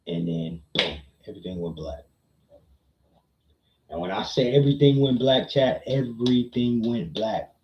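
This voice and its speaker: A man's voice: medium pitch, unhurried, pauses often, noisy background. A man livestreaming a casual personal story to his chat, slangy, repeating phrases for emphasis and often checking in with listeners. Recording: muffled, roomy echo.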